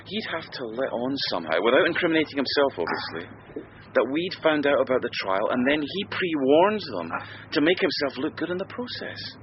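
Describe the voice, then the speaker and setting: An actor playing a dutiful police sergeant in a radio drama, polite and hesitant, with a dry wit. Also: male voice, medium pitch, sing-song, brisk, natural voice. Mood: reasoning. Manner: polite, hesitant, dryly reasoning. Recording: dull, noisy radio recording.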